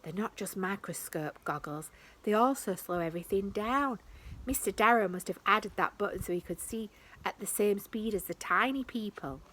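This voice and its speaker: A woman's voice: medium pitch, moderate pace, bright, forceful tone, rough recording. A woman voicing Max, a cautious and thoughtful young boy in a storybook reading. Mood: worried.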